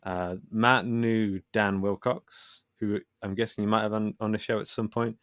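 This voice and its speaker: A man with a lowish voice talks casually as an interview guest. He is self-deprecating about his own creative work. The sound is dry, with little room around him. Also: flat delivery, moderate pace, fairly bright tone, no room sound. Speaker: podcast guest, author and filmmaker.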